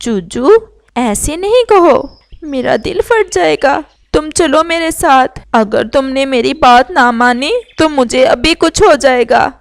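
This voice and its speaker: A woman's voice: high voice, lively intonation, slow pace, vocal fry, dry recording, heavily acted. A woman voicing a protective, ailing mother kite bird, tender but firm, in an earnest, motherly manner for a children's cartoon story. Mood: pleading.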